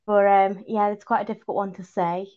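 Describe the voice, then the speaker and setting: A young woman from Liverpool with a Liverpool accent, chatting casually as a podcast guest, self-deprecating and quick to laugh. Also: mid-range voice, flat delivery, warm tone, lo-fi sound. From Liverpool, England. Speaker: podcast guest, video journalist. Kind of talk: casual chat.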